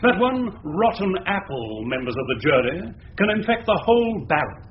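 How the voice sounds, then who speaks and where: A man's voice: sits high, very animated, normal speed. A man playing a pompous English prosecuting barrister, making an oratorical speech to a jury in a radio drama. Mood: pompous, declaiming. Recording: noisy, muffled old radio drama recording.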